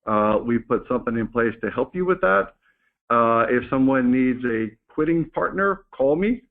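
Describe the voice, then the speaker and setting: A man's voice comes through a thin, band-limited phone conference line, at a middling pitch. He presents like an executive addressing staff, in an informal business style. His delivery is candid and conversational. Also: animated, normal speed, vocal fry, narrow, tinny audio. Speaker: company executive hosting a staff call. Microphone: conference call phone line.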